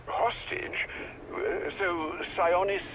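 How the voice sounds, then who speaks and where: An actor playing a dutiful, polite male aide in a video game, measured and concerned, heard over a radio link. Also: sits high, big pitch swings, creaky, highs cut off, background noise, exaggerated voice. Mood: attentive. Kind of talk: naturalistic video game acting.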